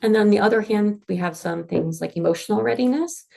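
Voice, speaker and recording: A woman's voice: mid-range voice, a little fast, vocal fry, a little mellow. A woman with a North American accent presenting a pre-departure information session for students going abroad, friendly and informative with frequent fillers. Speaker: international office staff presenter.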